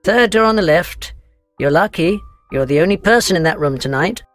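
A man voicing a brisk, suspicious hostel receptionist in a storybook reading. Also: high-pitched, animated, soft background music, pitched above the performer's own voice. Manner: brisk, questioning.

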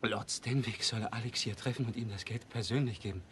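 An actor playing a shaken, injured petty crook in a German radio crime play, weak and dazed, halting and repeating himself. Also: male voice, lowish voice, a bit bright, close to an everyday voice. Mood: shaken, insistent. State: weak, dazed, struggling to speak. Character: injured, shaken small-time male crook. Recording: noisy old radio play recording.

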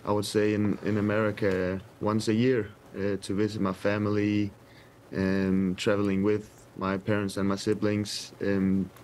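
A young man in his twenties with a Danish accent, answering interview questions over a video call from a press room, hesitant and soft-spoken with many pauses. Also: fairly low pitch, monotone, a little hiss or hum. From Denmark. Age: 20s. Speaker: footballer guest. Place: indoor press room with sponsor backdrop. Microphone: webcam video call.

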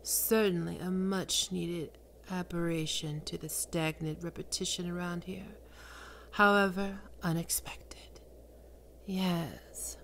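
A woman voicing a seductive, imperious female demon queen, speaking slowly in sultry whispers. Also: fairly low pitch, steady tone, a bit bright, slight background noise, rough recording. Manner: slow, sultry, domineering, often whispering. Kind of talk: ASMR roleplay audio drama.

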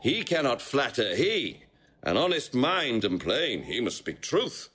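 An actor playing a stern, imperious and suspicious nobleman with a husky voice in a Shakespearean audio drama. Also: medium pitch, very animated, measured pace, a bit bright, exaggerated voice. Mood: scornful.

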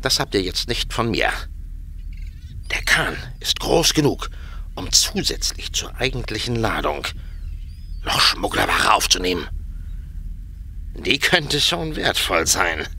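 An actor playing a wry, cagey harbour master in a radio play, explaining things pedantically and with hesitations. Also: male voice, fairly low pitch, sing-song, slow pace, creaky, pauses often, sharp tone, husky, full character voice. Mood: confiding. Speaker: voice actor in an audio drama. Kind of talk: German radio play acting.

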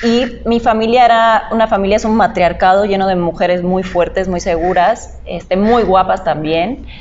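A young adult woman from Cuba speaks in a casual, explanatory podcast style. Her voice is medium in pitch and reflective in delivery. The recording is slightly muffled, with a faint layer of noise. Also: fairly even intonation, moderate pace, darkish tone. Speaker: podcast guest, model. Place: indoor podcast set at a table.

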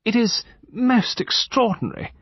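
A man's voice: high voice, animated, moderate pace, vocal fry, slightly rough, dry recording, lo-fi sound, voice pushed up, full character voice. A man voicing a vague, flustered older upper-class English lady in an audiobook, with a hesitant, wavering delivery that trails off. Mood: wailing.

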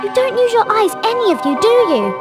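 High, bossy British schoolgirl. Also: sing-song, loud music behind the voice. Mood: exasperated. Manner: brisk, know-it-all, precise. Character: bossy, bookish young schoolgirl.

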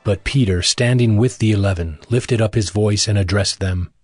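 Low-voiced male scripture narrator over music. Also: moderate pace, dry recording.

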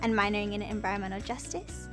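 A woman's voice: mid-range voice, fairly even intonation, fast talker, faint music behind. A young woman from Oahu, a college freshman, introducing herself in a friendly way. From Oahu, Hawaii. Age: young adult. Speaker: student. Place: studio with blue backdrop.

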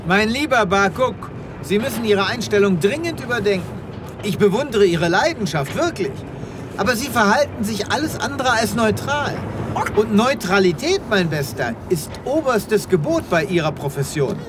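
An actor playing a pompous, formal and easily flustered space veterinarian in a comic sci-fi radio play. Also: male voice, high voice, expressive, normal speed, music in the background. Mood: stern, lecturing. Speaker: lead actor in an audio drama.

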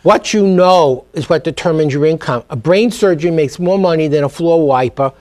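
A man's voice: a little high, moderate pace, darkish tone. A middle-aged man being interviewed in a TV studio, talking emphatically and energetically about learning. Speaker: guest, speed reading teacher. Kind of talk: TV business interview. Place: TV studio set.

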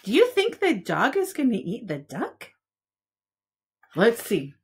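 A woman in her forties reading a picture book aloud to young children as a preschool teacher, with simple, expressive phrasing. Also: fairly high pitch, takes it slowly, a little hiss or hum.

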